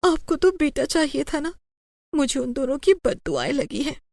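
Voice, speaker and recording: A woman's voice: high-pitched, very animated, normal speed, creaky, darkish tone, dry recording, voice pushed up, full character voice. A woman voicing a guilt-ridden, frightened wife and mother in a pleading, confessional way for an audio story. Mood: anguished.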